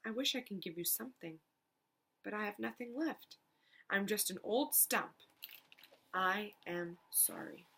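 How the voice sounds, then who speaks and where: A woman voicing a gentle, loving female tree in a storybook read-aloud, tender and giving. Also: mid-range voice, very animated, measured pace, creaky, pauses often, breathy edge, full character voice. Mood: sad, apologetic.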